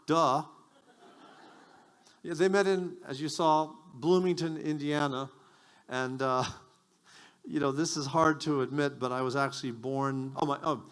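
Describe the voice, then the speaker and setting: Self-mocking stand-up comedian. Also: male voice, medium pitch, fairly bright tone, clean tone. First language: Japanese. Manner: self-deprecating, rambling asides with stammered restarts. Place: stage at a community event.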